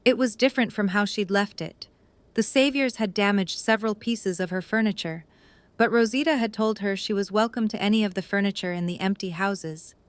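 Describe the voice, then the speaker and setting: A woman's voice: mid-range voice, a little fast, no room sound. A woman reading scripted story narration for an audio drama.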